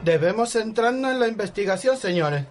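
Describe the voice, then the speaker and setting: A man's voice: sits high, animated, a little fast, over loud music. A man playing a formal, self-important investigation leader in a parody radio soap opera. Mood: businesslike.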